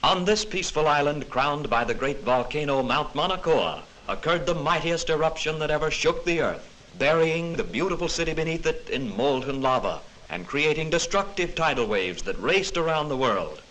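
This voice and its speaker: An actor playing a solemn storyteller, giving dramatic scripted narration in 1940s style on an old film soundtrack. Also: male voice, fairly high pitch, sing-song, moderate pace, a bit bright, rough recording. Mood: solemn, portentous. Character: solemn storyteller of a vintage adventure cartoon.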